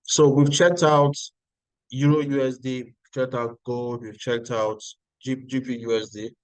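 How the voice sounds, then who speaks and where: A man's voice: mid-range voice, little pitch movement, measured pace, darkish tone, clean tone, no room sound, rough recording. A Nigerian-accented man hosting a live trading stream, talking viewers through charts and answering their chat. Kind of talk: live-stream market analysis.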